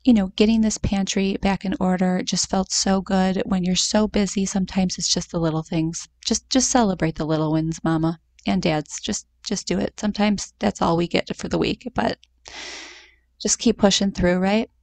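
Chatty mom vlogger with a gravelly voice. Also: female voice, mid-range voice, fairly even intonation, moderate pace, darkish tone. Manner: chatty and confiding, talks straight to viewers, many fillers. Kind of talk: casual chatty vlog voice-over. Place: home kitchen, indoors. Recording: faint background hum, dishwasher running.

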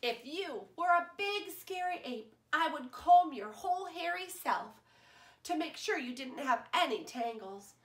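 A loving, patient mother, voiced by a woman reading aloud, soothing her child with warm promises. Her voice is high and bright, with a lively, singsong rise and fall. The room gives it a noticeable echo. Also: measured pace. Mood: tender. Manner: tender, reassuring storybook delivery. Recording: roomy echo.

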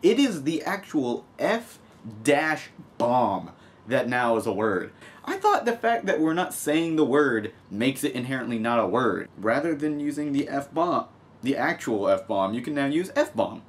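A young man from the United States talks casually at his desk in a small room. His voice is medium in pitch. He reads out news and comments on it with sarcastic, joking asides, as the host of a homemade show. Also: big pitch swings, measured pace. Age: young adult.

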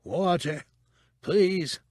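A male reader becomes a frail captive in an audiobook, worn down by torture. His voice is a sad, husky rasp, strained up in pitch and recorded dry. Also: very slow, darkish tone, dry recording, full character voice. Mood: pleading.

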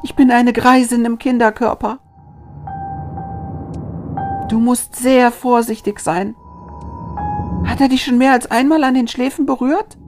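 Casting: male reader as an eerie, earnest little girl with an old soul, high-voiced and urgent, for an interactive spooky story. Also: steady tone, normal speed, over music. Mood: urgent warning. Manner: earnest, warning. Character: mysterious, urgent young girl, old beyond her years.

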